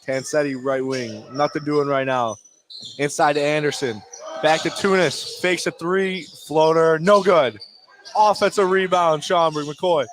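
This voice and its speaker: A man doing live radio play-by-play of a basketball game in clipped short phrases, exclaiming on big plays. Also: fairly high pitch. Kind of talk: live radio sports commentary. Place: indoor gym during a live game. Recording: noisy basketball gym ambience. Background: bouncing ball and gym noise.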